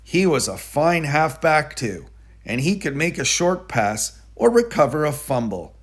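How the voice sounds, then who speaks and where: A man narrating a children's picture book aloud in a deliberate, explanatory manner. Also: on the high side, sing-song. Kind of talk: children's storybook read-aloud.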